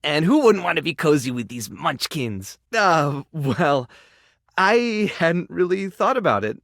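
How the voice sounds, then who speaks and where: A man playing a kind, modest, overworked animal rescue keeper in a video game, speaking in a natural, soft-hearted and hesitant way. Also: a little high, very animated, a little slow, vocal fry, no echo, clean studio sound, full character voice. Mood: friendly, caring. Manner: soft-hearted, self-effacing, hesitant with small sighs and chuckles. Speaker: voice actor in a dating game. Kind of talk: video game character dialogue, natural and conversational.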